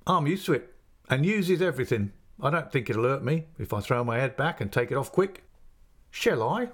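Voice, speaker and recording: A man's voice: mid-range voice, expressive, moderate pace, fairly bright tone, voice pushed up. A man voicing a chatty, greedy inn waiter with a wheedling, garrulous manner. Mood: confiding. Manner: garrulous, wheedling, mock-dismayed.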